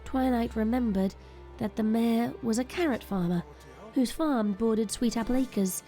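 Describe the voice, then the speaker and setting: A woman's voice: on the high side, normal speed, mellow, faint music behind. A woman narrator reading a scripted, dramatic story.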